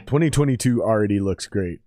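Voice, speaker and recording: Low-voiced profane podcast host in his thirties. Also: lively intonation, brisk, dark tone, no room sound, clean studio sound. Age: 30s. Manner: profane, intense, swearing freely. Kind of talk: casual podcast chat.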